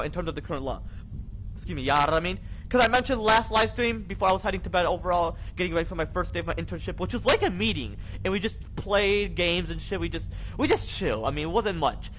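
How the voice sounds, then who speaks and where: A man's voice: sits high, expressive, fast, fairly bright tone. A young American man chatting casually on a game livestream in a rambling, repetitive, slangy way. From Michigan, United States. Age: young adult. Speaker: live streamer. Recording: dull lo-fi mic with faint noise.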